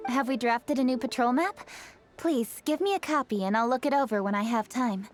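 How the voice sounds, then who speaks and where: A woman's voice: on the high side, brisk, natural voice. A woman voicing a poised, diligent young noblewoman official in an anime game, formal, composed and earnest. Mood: businesslike. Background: music.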